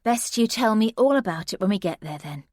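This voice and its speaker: A woman voicing an exasperated, bossy young woman friend, sharp and scolding. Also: medium pitch, lively intonation, brisk, no room sound, studio quality, pitched above the performer's own voice, close to an everyday voice. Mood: curt.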